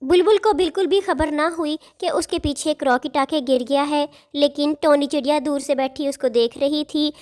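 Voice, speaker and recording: High-pitched woman narrating a children's story. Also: normal speed, hardly any pauses, darkish tone. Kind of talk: scripted children's cartoon story narration in Hindi.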